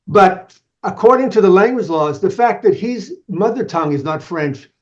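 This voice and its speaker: A man who makes documentaries, speaking as a podcast guest in a measured, explanatory way. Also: sits high, animated, darkish tone, dull audio. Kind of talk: interview answers.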